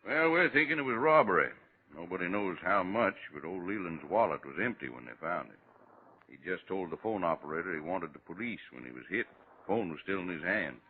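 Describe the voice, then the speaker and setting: An actor playing a folksy, unhurried police lieutenant with a Southern US accent in a 1950s radio drama. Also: male voice, low-pitched, expressive, breathy edge. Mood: easygoing, laconic. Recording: muffled, noisy old radio recording.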